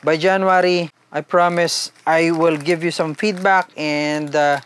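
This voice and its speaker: A man's voice: fairly high pitch, fairly even intonation, slow, a bit bright, clear, resonant tone. A man with a Filipino accent doing a casual, conversational unboxing and product review, mixing two languages as he talks informally to viewers.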